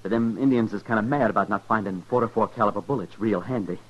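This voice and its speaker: A man's voice: on the low side, fast talker, natural voice. An American man playing a cornered frontier gun smuggler, defiant but fearful, with folksy speech, in 1950s radio western style. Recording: muffled, noisy 1950s radio recording.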